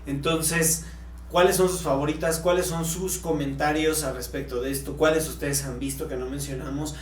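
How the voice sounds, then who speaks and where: A man's voice: on the high side, steady tone, moderate pace. A man with a Mexican accent co-hosting a podcast in a casual, conversational way. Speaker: podcast co-host. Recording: echoey room, faint background hum.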